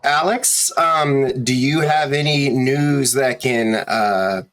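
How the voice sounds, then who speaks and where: A man's voice: medium pitch, a bit bright. Easygoing man hosting a game stream. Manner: easygoing, many ums and pauses. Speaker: game master and host. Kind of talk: casual stream introduction.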